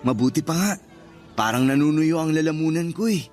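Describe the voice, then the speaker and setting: A man's voice: fairly high pitch, fairly quick, a little mellow, soft background music, close to an everyday voice. A male actor playing a kind, good-natured young suitor in a Filipino radio drama, sincere and friendly. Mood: nervous, sincere.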